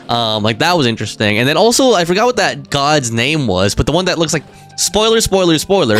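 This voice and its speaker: A male game streamer talks casually to his chat, thinking out loud in a slangy, rambling manner. His mid-pitched voice moves up and down in an animated way. Faint music plays behind him. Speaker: game livestreamer.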